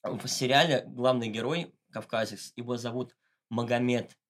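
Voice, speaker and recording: Man, podcast guest, casual interview talk, slangy, ironic, mid-range pitch. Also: fairly even intonation, normal speed, a bit bright. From Russia, Moscow. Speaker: interview guest, satirical blogger.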